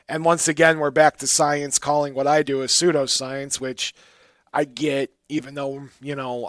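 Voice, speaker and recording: A man's voice: a little high, a bit bright, dry recording, studio quality. A man hosting a casual call-in radio talk show, bantering and emphatic, often interrupting himself. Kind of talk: casual call-in show chat about the paranormal.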